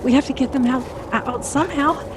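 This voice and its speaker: A woman's voice: sits high, fairly quick, noisy background, close to an everyday voice. An actress playing a sensible, anxious but polite family mother in a radio sitcom. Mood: concerned.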